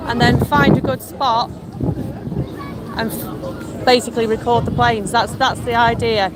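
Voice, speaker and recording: A man's voice: extremely high pitch, level tone, unhurried, over a noisy crowd. A man from Lancashire chatting casually with viewers on a live stream as he walks outdoors through a windy seaside town, rambling and answering the chat. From Lancashire, England. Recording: loud wind noise on the mic.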